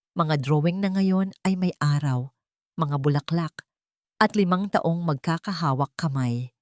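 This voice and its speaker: A woman narrating a scripted romantic drama audiobook in a measured, dramatic storytelling manner. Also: sits low, darkish tone, no room sound, studio quality.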